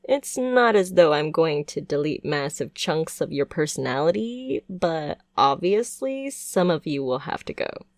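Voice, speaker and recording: Woman acting a slow, cooing, possessive witch. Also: fairly low pitch, no echo, studio quality. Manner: cooing, coaxing, softly condescending. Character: doting, possessive yandere witch, sweetly sinister. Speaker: ASMR voice performer. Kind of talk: ASMR roleplay, intimate monologue to the listener.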